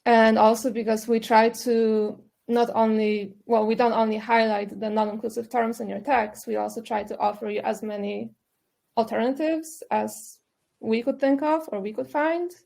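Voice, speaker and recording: Polish woman on a panel, kinda gravelly, mellow dark tone, chatty with lots of likes and ums. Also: fairly high pitch, steady tone, normal speed. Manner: thoughtful, loose with fillers. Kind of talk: panel discussion.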